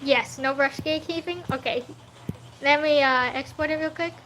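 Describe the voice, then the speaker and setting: A woman's voice: high-pitched, steady tone, normal speed, a bit bright, slight background noise, low-quality recording. A woman, not a native English speaker, chatting casually on a livestream while she draws and answers chat, laughing often. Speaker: streaming artist.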